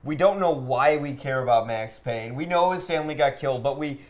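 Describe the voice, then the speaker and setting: A man's voice: medium pitch, a little fast. A man hosting a casual podcast, giving blunt opinions in loose conversational speech peppered with filler "like". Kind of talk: casual film criticism while gaming.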